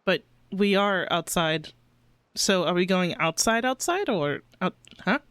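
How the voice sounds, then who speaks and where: A woman doing casual, playful live reaction commentary as a game streamer, expressive and giggly. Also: on the low side, measured pace, clean tone, no echo. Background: game music.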